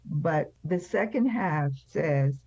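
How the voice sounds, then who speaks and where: A woman's voice: low-pitched, expressive, takes it slowly, warm tone, no echo. An elderly woman telling her personal story as an interview guest, blunt and emphatic in the way she talks. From France. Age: 70s or older. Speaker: interview guest, recovery speaker. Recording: slightly dull, band-limited sound.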